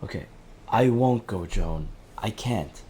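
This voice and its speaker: Man acting as deep-voiced, earnest helpline operator. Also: animated, takes it slowly, dark tone, a little hiss or hum. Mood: pleading. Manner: reassuring, imploring, repeating short pleas. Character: earnest male crisis helpline operator, pleading, empathetic, devoted. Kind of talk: audio drama acting.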